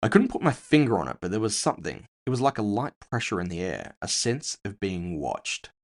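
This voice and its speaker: A man narrates a first-person story from a script. His voice is low, with lively, wide movement in pitch. The delivery is dramatic storytelling suited to audio fiction. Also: brisk.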